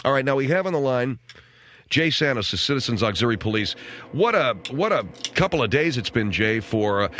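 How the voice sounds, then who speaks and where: A man's voice: medium pitch, lively intonation, a little fast, a bit bright. An American man hosting casual, rambling talk radio with a wry, grumbling, sardonic manner. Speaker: radio talk show host. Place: radio studio. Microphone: close studio microphone.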